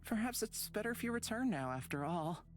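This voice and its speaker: A woman voicing a calm, gentle, considerate companion in a visual novel game, soft-spoken and tactful. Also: mid-range voice, a little fast, faint music behind, natural voice. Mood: gently probing.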